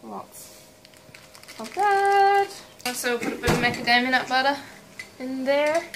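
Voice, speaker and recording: A young woman chats through a home cooking demo with playful, self-mocking asides. Her voice sits a little high. She is filmed up close in a small kitchen at night, and pots, dishes and cutlery clatter loudly behind her. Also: expressive, a little slow. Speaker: cooking vlog host. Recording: noisy home kitchen recording. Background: loud clatter of pots, cutlery and dishes.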